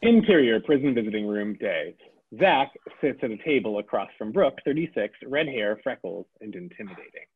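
A man reading the scene and stage directions aloud at a table read, in a plain, brisk way. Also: fairly low pitch, lively intonation, slightly rough. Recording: tinny phone-like sound.

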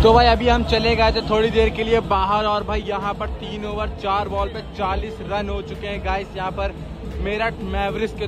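Young man, high voice, excited vlogger chatter, phone held in hand, outdoor stadium at night, blaring music behind. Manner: excited, keeps calling viewers "guys" and "bhai". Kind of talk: live commentary vlog from the stands. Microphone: phone camera held in hand. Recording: phone audio swamped by stadium crowd and music. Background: loud roaring crowd and blaring music.

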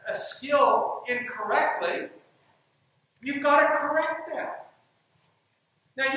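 A man's voice: very high voice, sharp tone. A man giving an emphatic stage talk on leadership and coaching, often repeating his key phrases. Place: dark stage in a large hall. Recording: echoey hall, heard from a distance.

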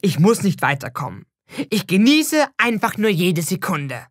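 A woman's voice: medium pitch, sing-song, a little slow, no room sound, clean studio sound. A woman reading a scripted first-person narration as a storyteller.

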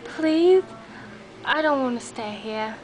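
A woman's voice: sits high, expressive, takes it slowly. A woman voicing a plucky, lonely little girl, blunt and curious, rambling hesitantly in an amateur comic puppet show. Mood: eager. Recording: noisy homemade camera recording.

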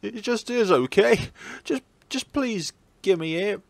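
An excitable teenage schoolboy from a horror game, acted out by a man, speaks in a raised, lively voice. He is earnest and caring, and given to exclaiming. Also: sits high, slow pace, gravelly, dry recording, pitched above the performer's own voice, heavily acted. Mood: flustered, insistent.

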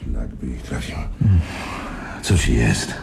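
Very deep gravelly gang boss. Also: male voice, takes it slowly, heavy background noise, exaggerated voice. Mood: gruff concern. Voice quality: gravelly, husky. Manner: blunt, curt, demanding. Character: gruff, blunt male gang boss. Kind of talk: Polish radio crime drama acting.

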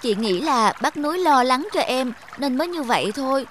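A woman voicing a gentle, caring elder-sister lake spirit in a children's cartoon fable, soothing and reasoning. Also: high voice, animated, normal speed, a little hiss or hum.

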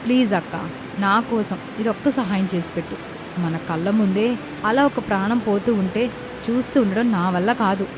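A woman's voice: medium pitch, moderate pace, background noise, lightly acted. A woman playing earnest, caring young village sisters in a moral story cartoon, with a dramatic delivery. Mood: pleading, dismayed. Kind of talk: animated moral story dialogue. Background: background music.

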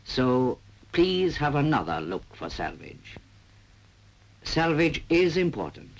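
Animated, husky British male newsreel-style narrator. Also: mid-range voice, takes it slowly, low-quality recording. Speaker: film narrator. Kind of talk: 1940s public information film narration.

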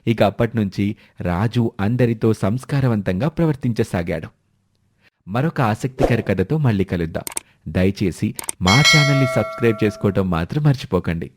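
A man's voice: lowish voice, animated, normal speed, heavy background noise. A man narrating a scripted moral story for children as a storyteller.

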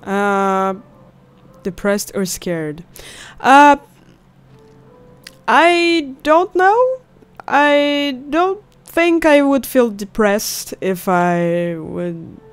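Slow-paced young woman gamer. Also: mid-range voice, clear, resonant tone. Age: young adult. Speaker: gaming video host. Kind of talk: casual let's play commentary. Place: indoors at home, seated close to mic. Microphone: studio condenser on stand. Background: game music.

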